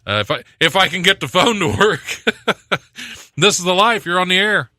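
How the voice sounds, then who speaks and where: A man hosting a talk radio show in a dry studio, reading quirky news and chuckling at his own asides. His voice is very bright and a little high for a man, with a lively, bouncing delivery. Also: normal speed, no echo. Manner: jokey, laughs often at his own asides. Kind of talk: casual chat and reading out odd news.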